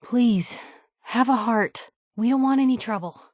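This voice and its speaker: A woman's voice: on the high side, unhurried, darkish tone, dry recording, clean studio sound, lightly acted. An actress playing a wry, plucky young woman reporter, an outspoken and loyal video game companion with a sardonic, conversational delivery. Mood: pleading, urging.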